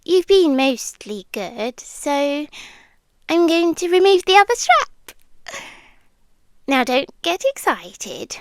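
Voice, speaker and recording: A British yandere girlfriend, acted by a woman for ASMR roleplay, possessive and sweetly threatening. Her high voice lilts and swoops in a very unhurried, teasing delivery. She often sinks into breathy, intimate whispers. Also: big pitch swings, dry recording, full character voice. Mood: coaxing, controlling.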